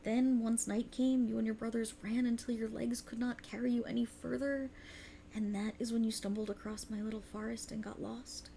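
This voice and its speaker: A woman's voice: a little high, level tone, creaky, mellow, slight background noise. A woman voicing a cruel, condescending otherworldly warlock patron in a theatrical, taunting ASMR roleplay, close to the microphone. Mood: sly reminiscing. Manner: taunting, theatrical, mock-affectionate, drawn-out emphasis. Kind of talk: ASMR roleplay, dramatic monologue.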